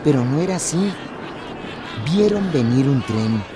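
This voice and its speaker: A grave narrator played by a male actor tells a war chronicle in scripted radio style. Loud rain and outdoor noise run behind the voice. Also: mid-range voice, animated, unhurried, close to an everyday voice. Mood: suspenseful.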